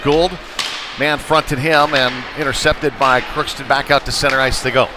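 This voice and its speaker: A man's voice: medium pitch, little pitch movement, moderate pace, noisy background. A man calling live hockey play-by-play in clipped present-tense phrases that build with the action. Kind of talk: live sports commentary.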